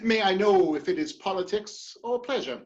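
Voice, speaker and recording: Woman as curious courtly statesman, mid-pitched, expressive, formal, period stage comedy, slightly lo-fi recording. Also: moderate pace, close to an everyday voice. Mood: polite, probing. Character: courteous, curious male politician and society host.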